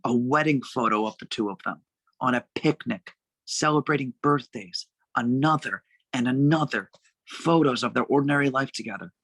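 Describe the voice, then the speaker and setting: A man narrating a screenplay's stage directions at a table read, with a gravelly voice. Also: mid-range voice, moderate pace, fairly bright tone, no room sound, poor audio.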